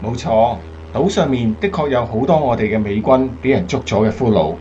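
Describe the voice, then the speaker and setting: A man acting a steady, earnest American wartime bomber captain in a radio drama. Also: medium pitch, a little fast, highs cut off, a little hiss or hum, close to an everyday voice. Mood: grave, commanding.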